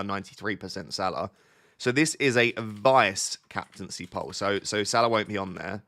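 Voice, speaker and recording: A man's voice: fairly low pitch, fairly bright tone. Sniffly man hosting a live stream. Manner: chatty, thinks aloud, restarts sentences often. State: slightly sniffly with a cold. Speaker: livestream host answering viewer chat. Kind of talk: casual live-stream chat.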